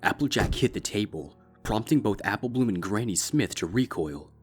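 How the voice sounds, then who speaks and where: A man with a low, deep voice reads a dark story aloud in a steady, measured way. Soft music sits faintly underneath. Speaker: fanfic reader. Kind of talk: scripted narration.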